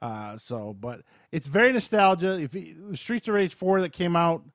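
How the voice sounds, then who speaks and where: A man with a slightly high voice talks through video games as a podcast host. The sound is very dry and close, with no room echo. His delivery is informal and slangy, explaining things in short, casual bursts. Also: big pitch swings, moderate pace. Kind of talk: casual chat, game review.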